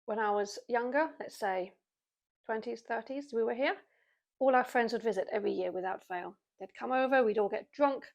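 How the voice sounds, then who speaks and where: A woman's voice: fairly high pitch, normal speed, gravelly. A British woman in her forties, chatting casually to camera with wry, self-mocking asides. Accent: British English. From Britain. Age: 40s. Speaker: vlogger.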